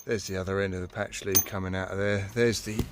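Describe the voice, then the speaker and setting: British man, lowish voice, casual DIY vlog chat, wry self-mocking grumbler, noticeable background noise with clicks. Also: fairly even intonation, quick pace. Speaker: hobbyist filming a car radio install. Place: inside a car.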